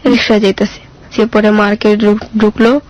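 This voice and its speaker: A woman's voice: medium pitch, flat delivery, normal speed, darkish tone, highs cut off. A girl in her mid-teens with a colloquial Bangladeshi accent, telling her own story simply in an interview with short, plain answers.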